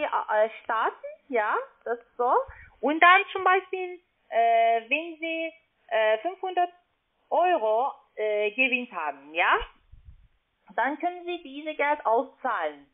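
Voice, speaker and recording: High-voiced foreign woman on tinny phone. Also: animated. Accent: foreign, non-native German. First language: not German. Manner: halting, hesitant, searching for words. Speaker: call centre agent. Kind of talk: unscripted phone sales call. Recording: narrow tinny phone line.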